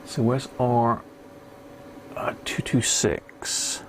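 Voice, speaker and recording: A man's voice: medium pitch, flat delivery, extremely slow, a little hiss or hum. A British man giving casual live commentary as a hobbyist repairer, muttering and thinking aloud as he works. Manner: muttering to himself while working, thinking aloud. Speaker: livestream host, radio repair hobbyist.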